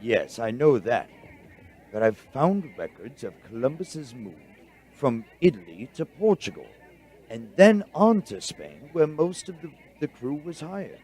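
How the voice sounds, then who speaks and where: A man playing a bookish, excitable doctor in a radio drama, halting and stammering as he explains things. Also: mid-range voice, husky. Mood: excited, amazed. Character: bookish, excitable male doctor friend, an eager researcher. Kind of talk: audio drama dialogue.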